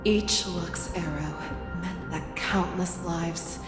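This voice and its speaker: A woman playing a haunted, resolute female warrior in a video game, speaking in a grave, measured way. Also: on the low side. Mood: sorrowful, solemn. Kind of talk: dramatic game voice acting. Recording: echoey, under loud music. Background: loud dramatic music.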